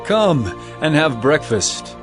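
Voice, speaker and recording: A gentle, tender Jesus, put on by a male reader in a dramatized scripture reading. He speaks slowly and with careful weight, and his pitch rises and falls a lot. Soft music plays behind him. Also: big pitch swings. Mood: calm.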